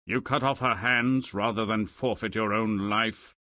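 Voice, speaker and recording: Slow, sinister bargaining devil. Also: male voice, fairly low pitch, fairly even intonation, bright, forceful tone, dry recording, studio quality. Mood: cold, scheming. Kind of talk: theatrical video game fairy tale acting.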